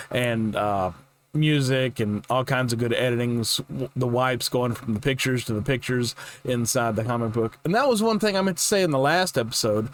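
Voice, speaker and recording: An older man hosts a reaction show. He talks in a loose, folksy, rambling way and is happy to make fun of himself. His pitch sits in an ordinary middle range for a man. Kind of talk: casual rambling reaction commentary.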